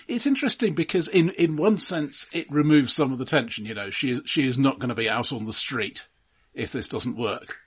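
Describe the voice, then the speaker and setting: A man's voice: medium pitch, moderate pace, husky. A man co-hosting a casual film discussion, explaining background details in a matter-of-fact way. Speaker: film discussion podcast host.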